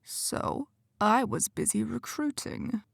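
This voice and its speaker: A woman voicing a smug, cold male demon leader, speaking slowly and quietly with a menacing edge. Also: lively intonation, creaky, no room sound, clean studio sound, full character voice. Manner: slow, low, quiet taunting.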